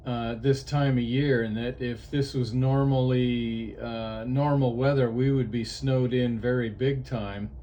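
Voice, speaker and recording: A man from Ohio chatting on ham radio from a portable outdoor setup, unhurried and halting with frequent fillers. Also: medium pitch, fairly even intonation, warm tone, a little hiss or hum. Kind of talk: casual radio chat.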